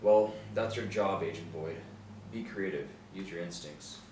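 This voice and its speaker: A man's voice: low-pitched, a little slow. Curt FBI chief read by a man, skeptical authority, heavy room echo, off-mic. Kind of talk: script table reading. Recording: very echoey room, distant mic, background noise.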